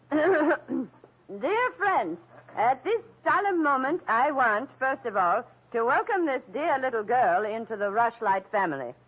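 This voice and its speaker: An actress playing a stately elderly family matriarch in a formal, ceremonious 1940s radio style. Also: female voice, high voice, sing-song, slow, breathy edge, heavily acted. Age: older. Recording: scratchy, phone-like old radio recording.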